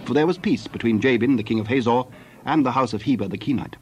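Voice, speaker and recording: A man's voice: mid-range voice, fast talker, slight background noise. A man narrating scripture as a scripted dramatized reading.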